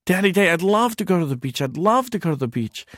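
A man voicing an excited little boy who keeps calling for his daddy in a high, eager, pleading voice. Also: fast, no echo, studio quality, exaggerated voice. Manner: repeats "Daddy, Daddy" breathlessly. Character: excited little boy who loves imaginary polar bears.

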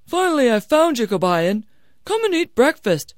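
A woman voicing a stubborn, sly older father-in-law in an animated storybook telling. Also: medium pitch, unhurried, no echo, studio quality, natural voice. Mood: urging.

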